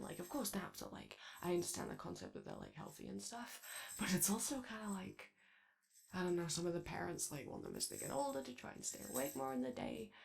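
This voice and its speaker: A woman's voice: fairly low pitch, vocal fry, darkish tone, exaggerated voice. A woman playing a shy, chatty animatronic daycare attendant in a roleplay ASMR, rambling tentatively and trailing off. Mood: awkward, welcoming. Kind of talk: roleplay ASMR, improvised-sounding casual chat.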